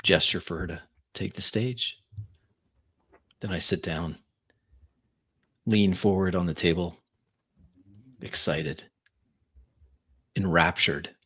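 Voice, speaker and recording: Slow, low-voiced man narrating roleplay. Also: fairly even intonation, sharp tone, slightly rough. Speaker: player in a tabletop roleplaying game. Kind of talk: in-character first-person roleplay narration.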